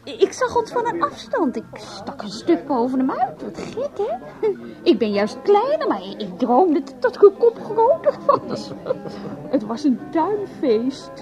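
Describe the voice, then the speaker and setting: A woman's voice: extremely high pitch, huge pitch swings, a little slow, heavily acted. A woman playing an emotional, rambling woman who confides her feelings in a radio drama. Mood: giddy, dreamy. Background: music.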